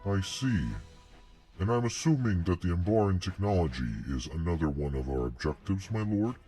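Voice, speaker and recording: A man's voice: low voice, animated, moderate pace, dark tone. A man playing a dutiful military subordinate in a sci-fi audio drama, formal and deferential toward his superior. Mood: respectful, inquiring. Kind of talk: scripted sci-fi audio roleplay acting. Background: background music.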